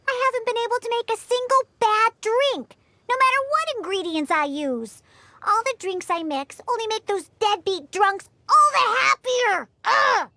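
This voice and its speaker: A woman's voice: dry recording, full character voice. A woman voicing a feisty young catgirl bartender in a video game, with a childlike voice and an emphatic, indignant manner. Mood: frustrated. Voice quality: high, bright, childlike. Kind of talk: animated video game character acting.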